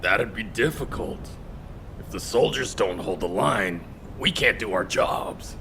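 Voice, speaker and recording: Gravelly, expressive young soldier sidekick. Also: male voice, fairly low pitch, unhurried, a little hiss or hum, exaggerated voice. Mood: serious. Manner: expressive, sincere. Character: eager, loyal young male soldier, hot-hearted sidekick. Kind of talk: video game cutscene dialogue. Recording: game music behind the voice. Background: background music.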